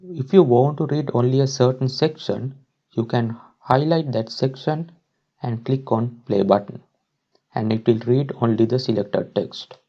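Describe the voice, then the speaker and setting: A man presenting a step-by-step software tutorial as a screen-recording voice-over. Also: medium pitch, unhurried, lo-fi sound. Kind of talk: instructional screen-recording narration.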